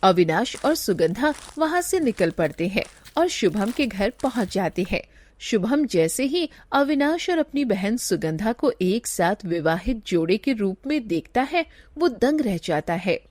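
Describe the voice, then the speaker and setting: A woman's voice: medium pitch, very animated, a little slow, a little mellow, dry recording. A woman giving scripted storytelling narration for a cartoon moral tale.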